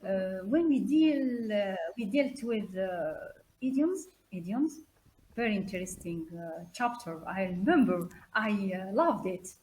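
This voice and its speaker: A woman speaking as a guest expert in an informal webinar, haltingly and repeating words for emphasis. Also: mid-range voice, expressive, a little mellow, low-quality recording. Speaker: guest expert, English teacher trainer.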